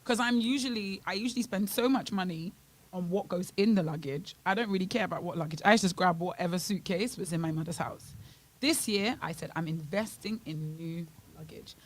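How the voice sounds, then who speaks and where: A woman's voice: fairly low pitch, fairly quick. A woman co-hosting a morning radio show, telling travel stories in casual chat with dry asides and frequent laughter, with a British accent. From Bahamas. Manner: chatty storyteller, laughs often, dry asides. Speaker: radio co-host.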